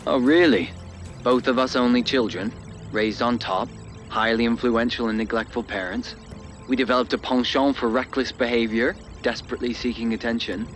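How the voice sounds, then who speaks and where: A man's voice: medium pitch, fairly even intonation, a bit bright, soft background music, natural voice. A man playing an entitled, powerful suitor in a video game cutscene, speaking formally and condescendingly with menacing politeness. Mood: smug persuasion. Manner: formal, measured, condescending, self-assured.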